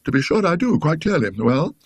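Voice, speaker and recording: A man voicing a polite, educated British gentleman in a ghost story, mildly inquisitive, with a courteous, formal manner. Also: fairly high pitch, sing-song, brisk, husky, no room sound, voice pushed up, heavily acted. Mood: curious, attentive.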